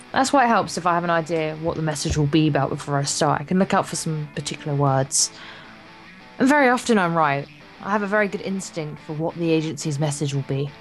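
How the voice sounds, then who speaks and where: A woman's voice: deep voice, rough recording. A young woman co-hosting a let's play, reading visual novel text aloud with playful, casual banter.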